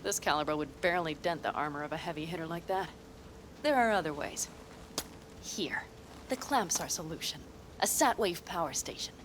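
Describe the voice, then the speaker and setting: A blunt, self-assured nomad woman, played by an actress, laying out her plans in a dry, matter-of-fact way. Her voice sits a little low, with faint noise under the game dialogue. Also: moderate pace, natural voice. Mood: calm, assured. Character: older female desert nomad planner, blunt and dry. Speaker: game character.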